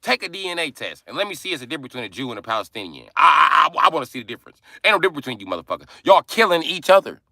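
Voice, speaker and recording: A young adult man ranting angrily and forcefully on a livestream from inside his car. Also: on the high side, very animated, racing, creaky, few pauses, no echo, studio quality. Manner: angry, forceful, profane ranting. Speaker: livestream host. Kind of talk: angry profane livestream rant.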